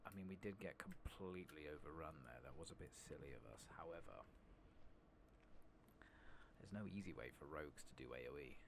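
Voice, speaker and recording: A British man giving hushed, soft-spoken ASMR game commentary, often slipping into a whisper. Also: rumbling low, expressive, fairly quick, gravelly, background noise. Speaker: game streamer.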